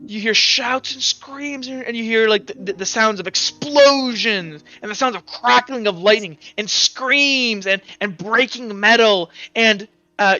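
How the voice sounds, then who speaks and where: Bright-voiced man running a tabletop game. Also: high-pitched, no room sound. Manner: halting, repeats words while describing. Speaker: game master. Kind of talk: improvised tabletop game narration. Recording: dull audio, faint background music.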